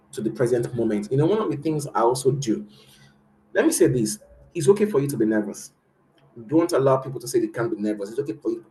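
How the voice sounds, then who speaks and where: A man's voice: medium pitch, darkish tone, a little hiss or hum, low-quality recording. A man with a Nigerian accent giving casual career advice as a host, earnest and repetitive, with many fillers. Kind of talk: casual motivational talk.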